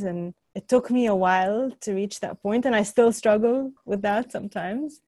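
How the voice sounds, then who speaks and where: A woman from Egypt in her thirties talks through her life story in a reflective, conversational way. Her voice is mellow and warm. The recording is dry and close. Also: mid-range voice, no echo. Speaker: interviewee, journalist. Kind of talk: personal storytelling.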